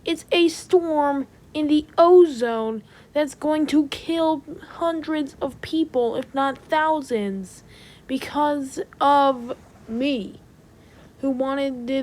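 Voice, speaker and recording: A fairly high voice plays a stressed rocket company staffer, acted by a woman. The delivery is naturalistic amateur audio drama, exasperated and somewhat hesitant. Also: slow, a little mellow. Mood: panicked, upset.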